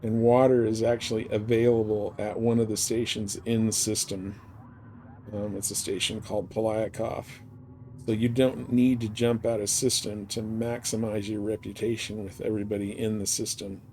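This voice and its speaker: A man's voice: fairly low pitch, little pitch movement, normal speed, mellow, a little hiss or hum. A man livestreaming a space game, chatting casually and rambling informally as he thinks aloud. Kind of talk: casual chat while playing a space game.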